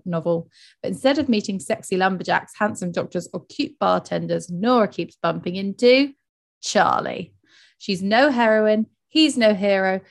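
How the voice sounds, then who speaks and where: A woman co-hosting a book podcast, chatting in a lively way, laughing often and summarizing book plots. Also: medium pitch. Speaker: podcast co-host. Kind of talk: casual chat.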